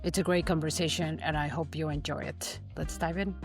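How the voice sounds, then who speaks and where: A woman hosts an interview podcast, reading her introduction and asking questions in a friendly, measured way. Her voice sits a little low, and faint music plays softly under her. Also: fairly even intonation, creaky. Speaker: podcast host.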